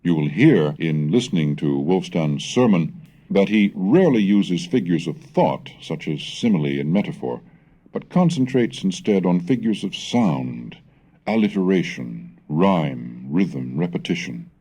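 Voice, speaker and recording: A man giving a formal, measured scripted reading, declamatory, in the style of an educational recitation of old texts. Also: low-pitched, very animated, mellow. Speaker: reader and narrator of a language history recording.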